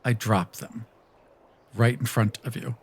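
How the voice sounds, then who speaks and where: A man's voice: medium pitch, flat delivery, unhurried, husky. A man hosting a podcast, casually narrating as a tabletop roleplaying player.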